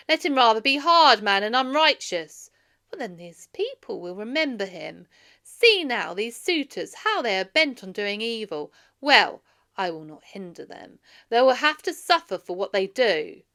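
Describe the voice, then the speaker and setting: A woman's voice: mid-range voice, bright, forceful tone. Woman voicing a stern, indignant male steward. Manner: stern, reproachful oratory. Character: loyal, indignant male steward scolding the townspeople.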